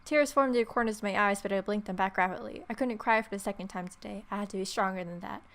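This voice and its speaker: A woman's voice: mid-range voice, fast talker. A woman hosting a let's play, reading a visual novel aloud and adding wry, playful asides. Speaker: gaming video host. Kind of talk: let's play, reading protagonist's lines and ad-libbing.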